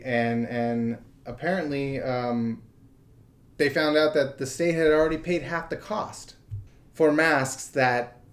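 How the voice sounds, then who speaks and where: An American man co-hosting a podcast, giving casual news commentary in a halting, filler-heavy way. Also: mid-range voice. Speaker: podcast co-host.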